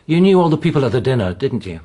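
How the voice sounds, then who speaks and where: An actor playing a polite, probing police inspector in a radio drama, asking questions with courteous hesitation. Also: male voice, medium pitch, sing-song, fast, dark tone.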